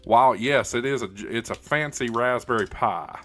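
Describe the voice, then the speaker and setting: A man hosting a casual hobby livestream, answering comments in a halting, plain-spoken, opinionated way, often repeating words as he goes. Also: medium pitch, fairly bright tone, faint music behind. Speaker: livestream host, ham radio hobbyist.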